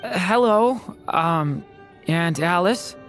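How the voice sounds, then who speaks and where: A gentle, earnest young boy from a video game. The voice is on the low side for a woman and moves expressively, with a polite, hesitant manner, over clear background music. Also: expressive, takes it slowly. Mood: shy.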